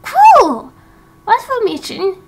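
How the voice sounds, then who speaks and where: A woman voicing an excitable, curious little village boy in an amateur game roleplay. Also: extremely high pitch, takes it slowly, background noise, pitched above the performer's own voice, exaggerated voice. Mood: excited, curious.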